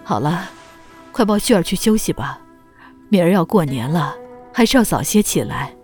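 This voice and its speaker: Casting: solicitous mother for costume drama, female actor, low register, animated intonation, middle-aged. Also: normal speed, soft background music. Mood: caring. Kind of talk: Chinese costume audio drama acting.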